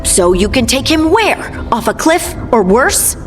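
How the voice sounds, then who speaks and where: A high-pitched young adventurer, earnest and excitable. The performance comes from a fantasy game cutscene, with music clearly underneath. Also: female voice, measured pace. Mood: alarmed.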